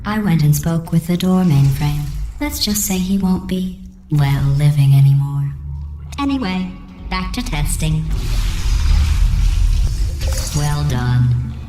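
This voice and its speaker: A woman voicing a coldly cruel female game AI with robotic processing, deadpan, sarcastic and falsely sweet. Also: low voice, measured pace, roomy sound, soft background music. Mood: icy sarcasm. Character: female artificial intelligence running tests, passive-aggressive, coldly cruel. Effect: synthetic robotic processing. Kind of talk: video game character dialogue.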